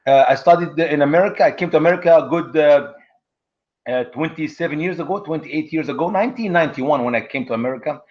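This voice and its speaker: A man from Egypt, a guest on an online talk show, telling stories in an animated, conversational way. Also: on the high side, quick pace, highs cut off. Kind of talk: conversational storytelling.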